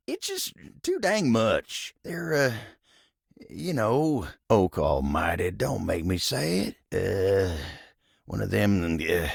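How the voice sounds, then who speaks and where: A chatty old-fashioned cowboy table from a video game. The voice is low and full of gravel, with a folksy Southern drawl that rises and dips freely. He rambles along politely, easygoing and a little bashful. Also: no room sound, clean studio sound, heavily acted. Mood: flustered, bashful. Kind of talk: video game character dialogue.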